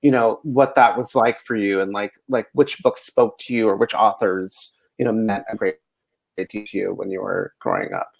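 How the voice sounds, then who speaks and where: A man's voice: on the low side, steady tone, normal speed, vocal fry. An American man conducting a casual interview, asking questions in a rambling, hedging way with lots of "like" and "you know.". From rural Ohio, United States.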